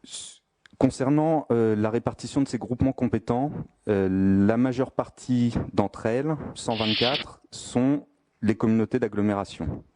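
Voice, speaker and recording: A man giving a formal spoken presentation of a statistical study in a measured, careful, explanatory manner. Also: medium pitch, darkish tone.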